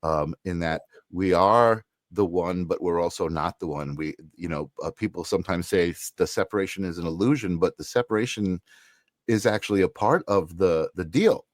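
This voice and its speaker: Deep-voiced man hosting a podcast. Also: moderate pace, dry recording, studio quality. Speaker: podcast host. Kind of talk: podcast interview, asking questions.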